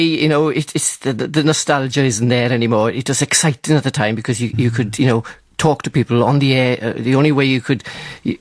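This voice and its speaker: A man's voice: mid-range voice, no echo. Chatty middle-aged man on dry radio. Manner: chatty, nostalgic, many fillers and restarts. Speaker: radio presenter, interview guest. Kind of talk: live radio interview.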